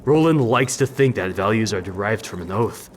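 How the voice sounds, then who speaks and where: An actor playing a jaded, sardonic war veteran and brewer in a fantasy video game, dry and wistful. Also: male voice, on the low side, expressive, normal speed, a little hiss or hum, natural voice. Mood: bitter.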